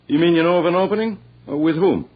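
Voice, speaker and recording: An actor playing a courteous visiting brother in a stagey 1940s radio drama style. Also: male voice, a little high, fast talker, lightly acted. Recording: muffled old 1940s radio recording.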